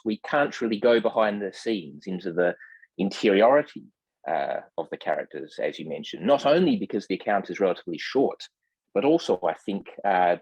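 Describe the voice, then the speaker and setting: A man speaking as a guest translator in a scholarly discussion, formal in wording, with hesitant, measured phrasing full of ums. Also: on the low side, darkish tone, husky, no room sound, poor audio. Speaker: literary translator, podcast guest.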